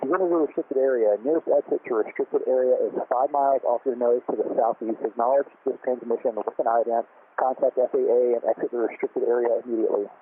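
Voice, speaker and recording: A man's voice: mid-range voice, fairly even intonation, fast talker, slightly rough. A man working as a military air defense controller gives formal, procedural warnings in clipped aviation phraseology over the radio. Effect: aviation radio transmission. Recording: tinny, noisy aircraft radio channel.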